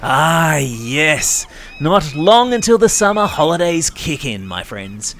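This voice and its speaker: Animated, higher-voiced Australian man hosting kids' news. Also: slow pace, slight background noise. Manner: chatty, playful, addresses young listeners directly. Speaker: podcast host. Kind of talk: scripted news narration for children.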